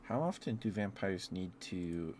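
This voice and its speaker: Male ASMR streamer, lower register, very unhurried, playful rambling delivery, some background ambience. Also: animated, a little mellow, slight background noise. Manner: playful, musing aloud with trailing thoughts. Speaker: ASMR livestreamer. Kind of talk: ASMR, casual rambling chat.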